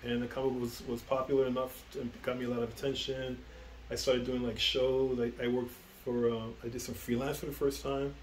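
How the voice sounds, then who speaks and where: A man's voice: medium pitch, flat delivery, fairly quick, reverberant, rough recording. A middle-aged man from the Bronx, talking as the guest in a casual interview, rambling with many fillers and restarts. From the Bronx, New York. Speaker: guest, comic book writer. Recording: faint background noise.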